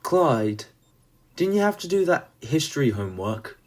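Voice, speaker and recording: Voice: mid-range voice, sing-song, unhurried, darkish tone. A performer playing an earnest, brainy teenage son in an audio drama. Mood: teasing, protesting.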